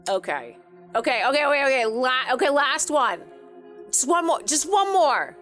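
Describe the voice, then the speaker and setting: Casting: female game streamer, fairly high voice, casual and sweary, joking reactions, faint music behind. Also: big pitch swings, moderate pace, a bit bright. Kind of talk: casual let's-play commentary.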